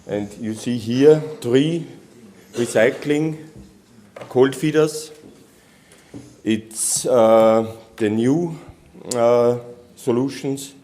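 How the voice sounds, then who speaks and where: A man from Austria, a native German speaker, giving a technical conference presentation in halting English with many restarts. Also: medium pitch, dark tone. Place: conference hall.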